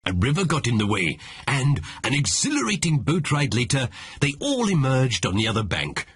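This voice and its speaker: A man playing a storybook narrator in an adventure game, with a husky voice. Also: mid-range voice, wildly swooping pitch, normal speed, a bit bright, no echo, heavily acted. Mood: storytelling.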